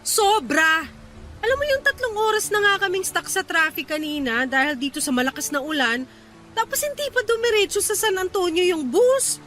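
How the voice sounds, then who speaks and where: Exasperated young woman, very high bright voice. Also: moderate pace, soft background music. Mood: weary complaint. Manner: complaining, exasperated. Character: irritated, tired young woman stranded late at night. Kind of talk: Filipino radio drama acting.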